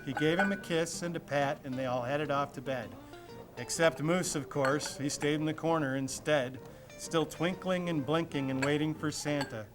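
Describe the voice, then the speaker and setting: A man's voice: a little high, moderate pace. A man reading a rhyming children's story aloud, with music playing behind him. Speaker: storybook reader.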